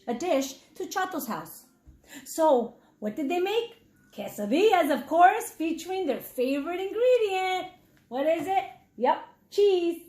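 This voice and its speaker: High-pitched woman reading to children, echoey room. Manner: expressive, addresses children directly. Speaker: reading intervention teacher. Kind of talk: children's read-aloud narration with questions to listeners.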